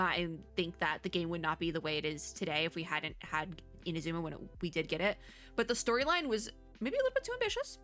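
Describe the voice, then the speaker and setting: A woman with a bright, forward voice and a medium pitch gives casual reaction commentary. She talks like a chatty video host, rambling and restarting her thoughts as she goes. Soft music plays behind her. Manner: chatty, rambling, self-interrupting. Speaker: YouTuber host.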